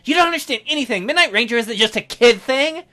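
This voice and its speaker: A man voicing an excitable, touchy young nerdy woman for a visual novel, in an indignant, emphatic manner. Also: very high voice, faint music behind, voice pushed up, heavily acted. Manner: indignant, emphatic, fast-swinging.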